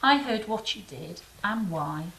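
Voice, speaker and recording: A woman's voice: medium pitch, expressive, a little slow, creaky, sharp tone, heavily acted. A woman playing a romantic, optimistic angel in an audio drama, effusive, playful and affectionate. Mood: warm, encouraging.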